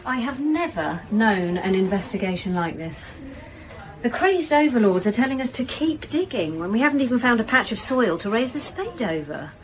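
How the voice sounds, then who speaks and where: A woman playing a senior police inspector in a radio drama, brisk and sceptical, with a dry, authoritative way of talking. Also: on the high side, sing-song, slight background noise, poor audio. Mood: dry, thoughtful. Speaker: actor in an audio drama. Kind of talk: naturalistic radio drama dialogue.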